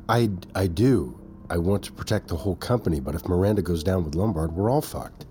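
An actor playing an anxious, protective middle-aged father and company man in an audio drama, with a halting, stammering delivery. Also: low-pitched, animated, warm tone, soft background music. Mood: troubled, pleading. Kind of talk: naturalistic audio drama acting.

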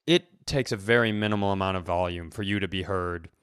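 A man co-hosting a comedy podcast, talking casually in a rambling, halting, self-deprecating way. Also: low-pitched, fairly bright tone, clean tone, dry recording, clean studio sound. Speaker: podcast co-host.

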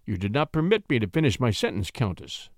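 A huge, suave conspirator of a marquis, voiced by a male storyteller. His speech is grand yet softened and courtly, with a very animated melody and a dry sound. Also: medium pitch, brisk, no echo, natural voice. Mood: courtly, cautious. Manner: great voice softened by habit, courtly.

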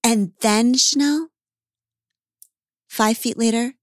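A woman hosting a podcast, talking in an animated, emphatic way and swearing casually. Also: on the high side, slow, no echo, clean studio sound. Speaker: podcast host. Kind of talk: casual interview chat.

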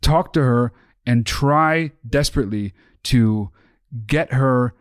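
A man's voice: mid-range voice, takes it slowly, clean tone, no room sound, studio quality. A man co-hosting a podcast, giving earnest advice with lots of swearing and repetition. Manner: earnest, swears often, repeats himself. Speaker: podcast co-host.

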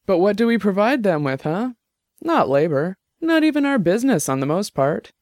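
A man voicing a tipsy young woman musician who argues loudly and derisively in a bar, in an audiobook. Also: medium pitch, sing-song, normal speed, no room sound, clean studio sound, voice pushed up, natural voice. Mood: combative. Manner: loud, combative, derisive.

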